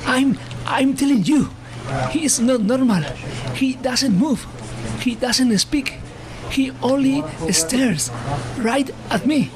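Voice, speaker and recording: A man's voice: very high-pitched, animated, slow, crowd noise, pitched above the performer's own voice, heavily acted, poor audio. A man playing an anxious, sleepless, obsessed man in a horror story, agitated and pleading. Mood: agitated, insistent.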